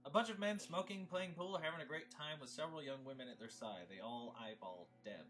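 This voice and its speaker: A man's voice: on the high side, fairly quick, fairly bright tone. A man reading stage directions as narrator at a film table read.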